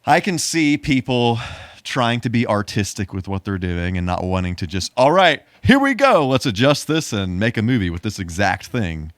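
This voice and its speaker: A man's voice: fairly low pitch, big pitch swings, normal speed, no echo. A man co-hosting a podcast in casual conversation, thinking aloud tentatively with false starts. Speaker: podcast co-host.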